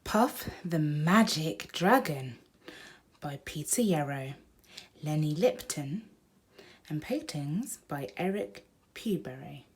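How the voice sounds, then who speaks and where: A woman's voice: low-pitched, lively intonation, takes it slowly, breathy edge. A woman hosting a children's picture book read-aloud, speaking directly to young listeners.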